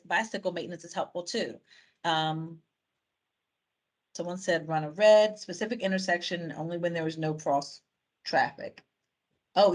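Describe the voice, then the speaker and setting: A woman facilitating a community video call, reading out and summing up participants' answers in a chatty way with fillers and restarts. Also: lowish voice. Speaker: meeting facilitator.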